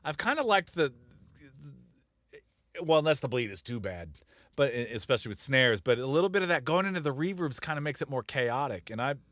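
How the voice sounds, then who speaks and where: A man's voice: mid-range voice, sing-song, fairly quick, a bit bright, no room sound. A man talking shop as a music producer in a casual studio chat, blunt and rambling, with frequent chuckles.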